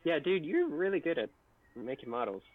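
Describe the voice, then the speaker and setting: A man's voice: fairly high pitch, sing-song, fairly quick, no echo. A man chatting informally on a livestream, explaining things and giving his opinions. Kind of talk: casual livestream chat. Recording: thin, phone-like voice call sound.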